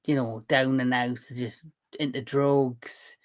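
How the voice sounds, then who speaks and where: A man hosting a relaxed retro movie show over a call. He rambles through plot points with plenty of uhs and restarts, in a mid-range voice. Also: mid-range voice, slow pace. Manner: rambling, hesitant with many fillers. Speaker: podcast host. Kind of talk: casual movie chat.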